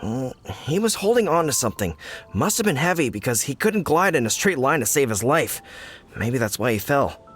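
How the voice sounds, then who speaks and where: A man's voice: mid-range voice, big pitch swings, moderate pace, close to an everyday voice. A man voicing a flustered, complaining fruit-cart delivery man in a video game. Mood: indignant. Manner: fretful and complaining. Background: game music.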